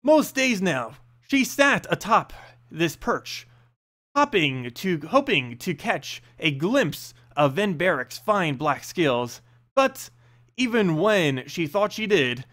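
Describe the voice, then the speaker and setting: A man's voice: high voice, a bit bright. A man reading a fantasy story aloud as a narrator, with a dramatic storytelling delivery.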